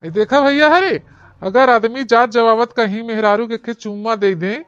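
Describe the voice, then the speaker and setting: Smooth, muffled, lovestruck Awadhi village husband acted out. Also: mid-range voice, moderate pace, fairly bright tone, no echo, natural voice. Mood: amused, boastful. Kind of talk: cartoon comedy dialogue in rural dialect.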